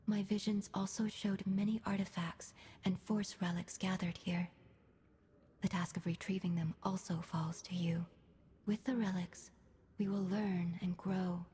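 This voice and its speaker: An actress playing a serene, austere alien mystic in a video game, speaking in formal, clipped, measured phrases. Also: female voice, fairly low pitch. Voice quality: soft, breathy, husky. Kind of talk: scripted video game dialogue. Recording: long reverberant hall.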